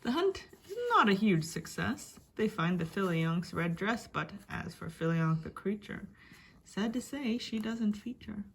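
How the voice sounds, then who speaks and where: A woman storyteller giving a dramatic reading of a rhyming picture book, expressive, with hushed and emphatic passages. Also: mid-range voice, a little slow, creaky. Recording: faint background noise.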